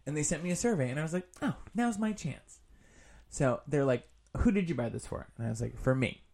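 A man's voice: on the high side, a little fast. A man in his thirties chatting casually as a podcast co-host, telling stories in an emphatic, comedic and sweary way. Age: 30s. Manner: animated, swearing, emphatic storytelling.

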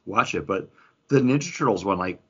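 Lively, husky man in casual chat. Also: fairly low pitch, brisk. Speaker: podcast host steering the discussion. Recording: dull, lo-fi podcast audio.

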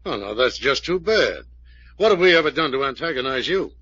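Forceful, sardonic police captain. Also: male voice, mid-range voice, very animated, a little fast, breathy edge, dry recording, heavily acted. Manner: dry sarcasm, authoritative. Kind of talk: 1940s radio serial acting. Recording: muffled old-time radio recording.

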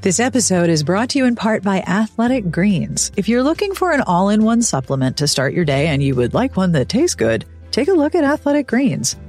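A woman's voice: mid-range voice, sing-song, a little fast, few pauses, darkish tone, faint music behind. A woman hosting a podcast, reading her intro and ads in an upbeat, chatty and personal way. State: recovering from illness. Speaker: podcast host. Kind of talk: scripted podcast intro and host-read ads.

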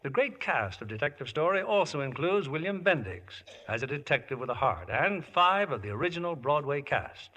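Bright-voiced 1950s radio host in a hall. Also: male voice, on the high side, sing-song, moderate pace, few pauses. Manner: polished, promotional. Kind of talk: scripted 1950s radio presenting. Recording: vintage radio broadcast in reverberant hall.

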